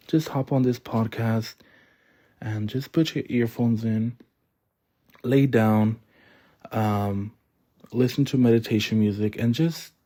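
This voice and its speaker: Young guy doing a heartfelt solo podcast, slow and mellow, kinda rambly, repeats himself a lot. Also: medium pitch. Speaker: podcast host.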